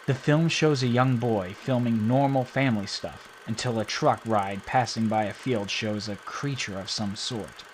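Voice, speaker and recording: A male narrator reading a scripted account in an even, documentary manner. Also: lowish voice, normal speed, few pauses, slight background noise.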